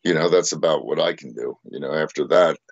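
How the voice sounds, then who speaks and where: A man with a very deep bass-tenor voice talks in a confident, easygoing podcast chat and keeps checking in with "you know what I mean". The recording is dry and a little muffled, with no room around it. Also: brisk, gravelly, no room sound. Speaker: podcast co-host and streamer. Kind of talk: casual chat.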